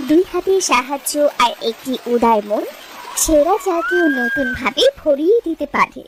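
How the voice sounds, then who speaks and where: A woman's voice: high-pitched, expressive, normal speed, poor audio. A woman reading scripted narration for a moral story. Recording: loud music behind the voice. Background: music.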